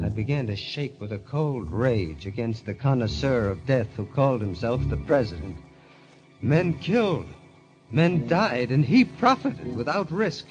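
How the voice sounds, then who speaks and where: An actor playing a bitter, haunted young gambler turned murderer, grave and intense, in old-time radio drama style. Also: male voice, medium pitch. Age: young adult. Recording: muffled vintage radio recording, music behind.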